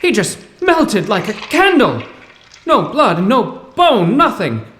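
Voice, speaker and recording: An actor playing a bewildered, bookish poetry professor in a 1930s-style radio drama, with mannered old-fashioned phrasing. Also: male voice, high voice, wildly swooping pitch, slow, fairly bright tone, roomy sound, slight background noise, full character voice. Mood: horrified disbelief.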